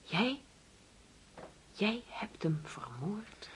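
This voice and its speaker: A woman acting in a radio drama as a distraught, grieving mother, breathy and often close to a whisper. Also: mid-range voice, sing-song, drawn-out words, a little hiss or hum. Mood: shocked.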